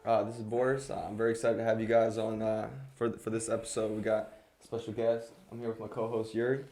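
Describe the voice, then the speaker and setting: A man hosting a podcast, opening the show in an upbeat, slightly hurried way. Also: lowish voice, little pitch movement, dark tone, soft background music. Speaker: host. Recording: distant mic.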